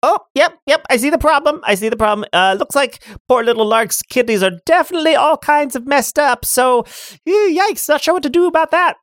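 A man's voice: very high voice, fairly quick, a bit bright, no room sound, studio quality, voice pushed up. A man voicing a zany female witch who cackles and rambles with silly wordplay. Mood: rambling.

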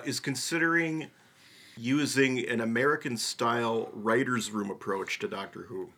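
A middle-aged man hosting a casual podcast, talking in halting, hesitant phrases. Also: lowish voice, fairly bright tone, slightly rough. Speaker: podcast host. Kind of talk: casual chat.

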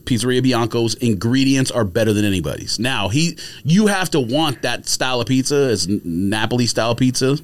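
A man's voice: medium pitch, expressive, brisk, few pauses. A man in casual podcast conversation, explaining things and giving his opinions in a conversational, explanatory way. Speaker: podcast panelist. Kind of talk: casual food chat. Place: podcast studio.

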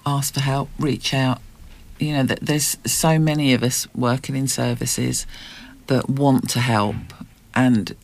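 A woman's voice: rumbling low, gravelly, a little mellow. A woman, a support worker giving plain, encouraging advice as an interview guest. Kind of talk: conversational advice.

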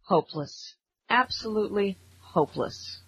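A woman's voice: mid-range voice, animated, measured pace, loud music behind the voice. A woman playing an exasperated colleague who scolds in a scripted podcast comedy skit, recorded in dull lo-fi sound. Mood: resigned despair.

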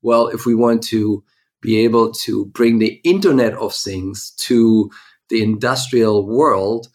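A man giving conversational, explanatory answers as an interview guest. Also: on the low side. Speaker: guest, tech company CEO.

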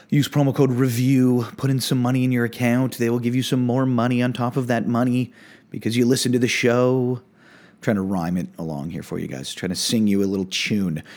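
A man's voice: medium pitch, a little mellow. A man hosting a casual sports betting podcast, loose and upbeat, with lots of fillers and restarts. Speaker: podcast host.

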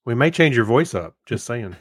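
A man's voice: medium pitch, very animated, moderate pace, no echo. A man co-hosting a podcast, chatting casually and reading out comments from listeners. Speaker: podcast co-host.

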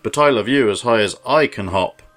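A man voicing a big, gentle, loving grown-up hare in a storybook, tender and unhurried, over music. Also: mid-range voice, expressive, natural voice. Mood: fond, patient. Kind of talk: storybook character reading.

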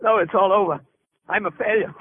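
An actor playing a deranged, grandiose would-be healer in a theatrical, declamatory 1940s radio drama style, on a tinny old recording. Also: male voice, sits high, brisk, a little hiss or hum, lightly acted. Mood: despairing.

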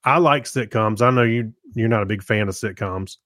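A man co-hosting a podcast in casual, unscripted talk, hesitant and often pausing to search for his words. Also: fairly low pitch, fairly bright tone, no echo, studio quality. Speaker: podcast co-host reviewing TV shows.

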